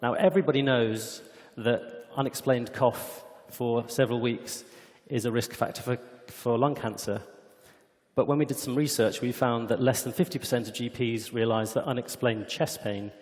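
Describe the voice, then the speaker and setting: A man presents a live demo at a conference. His voice sits in a mid pitch and he explains things step by step in a measured way. The big room adds a long reverb around him. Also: steady tone, darkish tone. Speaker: company executive presenting a product demo. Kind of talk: conference product demonstration. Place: conference hall stage. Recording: echoey hall with long reverb.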